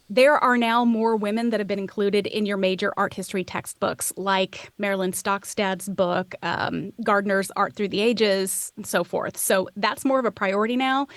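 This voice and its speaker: A woman in her forties speaks as an expert interview guest with a medium-pitched voice. She explains things conversationally, in an articulate and enthusiastic way. The sound is dry, with very little room echo. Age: 40s. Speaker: art history podcaster and expert guest.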